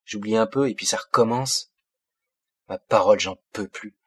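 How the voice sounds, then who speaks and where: A man's voice: fairly low pitch, moderate pace, a bit bright, slightly rough. A man reading an audiobook as an angry fifteen-year-old boy from the housing projects, speaking bitter street slang in agitated bursts. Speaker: audiobook reader. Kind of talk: first-person audiobook monologue.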